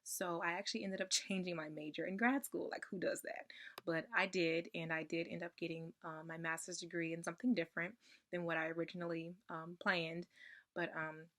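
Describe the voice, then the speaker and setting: A young woman getting over a cold, her voice slightly raspy, sharing her personal testimony in a soft-spoken way with frequent ums. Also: on the low side, level tone, brisk. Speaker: ministry member sharing testimony.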